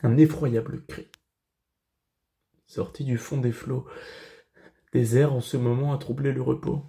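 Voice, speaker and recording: A male actor plays a solemn, grieving man of classical tragedy, recounting horror in measured verse. The voice is dark and mellow with a heavy gravelly creak. He declaims very slowly, leaving dramatic pauses between phrases. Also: mid-range voice, steady tone. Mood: dread, awed. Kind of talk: classical French verse tragedy monologue, self-tape audition.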